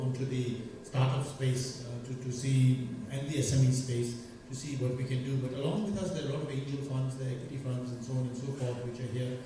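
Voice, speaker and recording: A man moderating a conference panel, pausing often with fillers and restarts. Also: mid-range voice, slight background noise. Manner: halting, many fillers and restarts. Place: large conference hall. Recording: echoey conference hall from far off.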